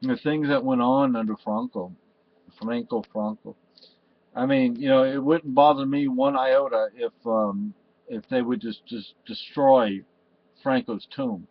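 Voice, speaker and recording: A man's voice: mid-range voice, fairly even intonation, measured pace, no room sound. An older man from Spain talking to his webcam at home in a meandering, emotional way, full of restarts and "you know". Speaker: vlogger. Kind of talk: rambling personal monologue to camera. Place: cluttered room at home, indoors. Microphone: webcam in front of him. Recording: slightly dull lo-fi webcam audio.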